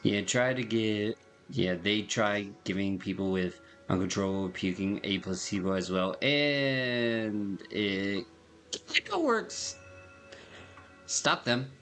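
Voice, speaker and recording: A man's voice: lowish voice, animated, slow pace, fairly bright tone. A man giving slangy, rambling livestream commentary as he plays a game. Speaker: game streamer. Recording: game music behind voice.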